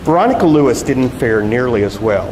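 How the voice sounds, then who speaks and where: A man's voice: medium pitch, expressive, fairly quick. A man, a novelist, giving a live reading of his own narrative prose at a public event. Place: public arts event, voice ringing in the space. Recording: echoey hall with loud background noise.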